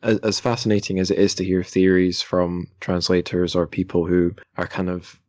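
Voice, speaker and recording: A man hosting a casual podcast interview, speaking in a hesitant, halting way. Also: deep voice, flat delivery, darkish tone. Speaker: podcast host.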